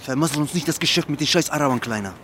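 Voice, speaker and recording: An actor playing a menacing young gangster in a radio drama, threatening, sneering and vulgar. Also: male voice, a little high, lively intonation, rapid-fire, fairly bright tone, slight background noise. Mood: bullying, commanding. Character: menacing young male gangster bullying a debtor. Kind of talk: German radio drama acting.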